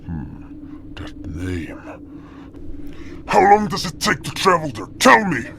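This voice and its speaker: A man voicing a hot-tempered, menacing bird warrior with a gravelly voice and a demanding, bullying manner. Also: fairly high pitch, wildly swooping pitch, takes it slowly, pushed and bright, voice pushed up, full character voice. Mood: brooding, demanding. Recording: clearly noisy background ambience.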